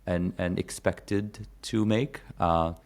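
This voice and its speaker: Very deep-voiced man from Egypt. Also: steady tone, takes it slowly, darkish tone. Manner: rambling, many fillers and restarts. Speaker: guest. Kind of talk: casual conversation.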